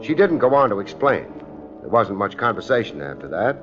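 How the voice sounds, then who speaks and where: A man acting a wry, unflappable private detective with deadpan wit in a 1950s radio drama. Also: fairly low pitch, brisk, music in the background. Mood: dry, cool. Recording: dull old radio recording.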